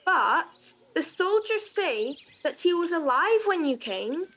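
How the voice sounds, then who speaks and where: A woman's voice: very high voice, very animated, slow, fairly bright tone, full character voice. A woman playing an earnest, polite and inquisitive news reporter in a comedy radio play. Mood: suspicious.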